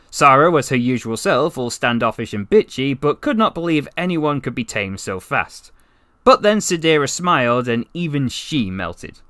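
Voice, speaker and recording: Male actor as wry, lovestruck half-alien storyteller: bright, very animated, gushing asides, comic audiobook read, close dry sound. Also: mid-range voice, dry recording. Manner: conversational, rambling asides, gushing. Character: chatty, besotted, wry half-alien man from Dorset. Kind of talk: first-person comic story narration, voice acting practice.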